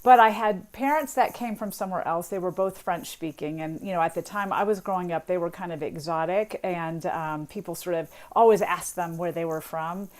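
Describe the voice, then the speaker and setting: A middle-aged woman professor from Tennessee with a faint Southern accent, explaining warmly as a radio guest and laughing easily. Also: on the low side, moderate pace, few pauses. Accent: slight Southern US. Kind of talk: radio interview.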